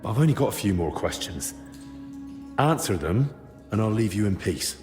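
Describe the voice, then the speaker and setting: An actor playing a blunt, probing young male investigator in a video game cutscene, speaking in a measured, matter-of-fact way. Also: on the low side, expressive, a little mellow, faint music behind, close to an everyday voice. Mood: probing, wry.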